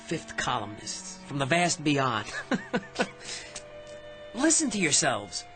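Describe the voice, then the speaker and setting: Radio drama: firm male peacemaker, challenging and reasonable, very expressive delivery. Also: medium pitch, takes it slowly, heavily acted. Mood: scornful, challenging. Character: level-headed male neighbor, skeptical peacemaker. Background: background music.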